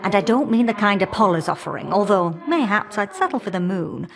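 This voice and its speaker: A woman's voice: mid-range voice, big pitch swings, a little fast, creaky, soft background music. A woman playing an irritable, motherly old goddess in a video game, scolding and teasing in a folksy way with old-fashioned endearments. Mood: teasing, warm. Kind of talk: video game character dialogue.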